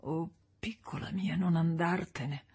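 A woman reading an audiobook voices a despairing husband who pleads tenderly in a slow, whispery voice. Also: on the low side, steady tone, a little mellow, husky, full character voice. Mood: pleading, tender.

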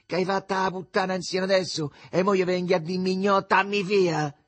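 A man voicing a furious, foul-mouthed Roman slum mother in a storybook, with a shrill, cutting shriek, drawn-out insults and a Roman accent. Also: very animated, husky, heavily acted. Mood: shrill fury. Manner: shouting, insulting, drawn-out cries. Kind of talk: dramatic audiobook character reading.

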